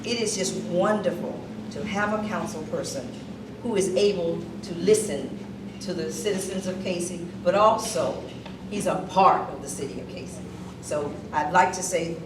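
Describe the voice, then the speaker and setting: Woman, big projecting voice, reception speech, distant mic, noisy room. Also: fairly low pitch. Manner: loud, projecting voice, partly off script. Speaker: speaker presenting an honoree. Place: hall at a museum reception. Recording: echoey hall recorded from far off with noise.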